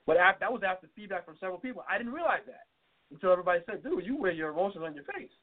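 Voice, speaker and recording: A man's voice: high voice, expressive. A man co-hosting an advice talk show, chatting and telling stories from his own life. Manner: quick, conversational, tells personal stories. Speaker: co-host. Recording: phone-like narrow audio.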